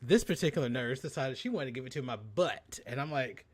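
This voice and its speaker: A man co-hosting a podcast in casual, bawdy chat, telling stories in a sassy, animated way. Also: medium pitch, fairly quick, breathy edge. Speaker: podcast co-host.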